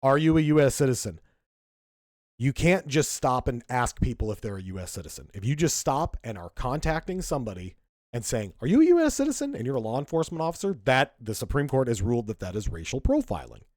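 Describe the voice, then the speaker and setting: Podcast co-host, man, lively swooping delivery, dry close sound, worked-up and blunt. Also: medium pitch, quick pace, dry recording. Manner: emphatic, indignant, lots of restarts. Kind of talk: casual political commentary.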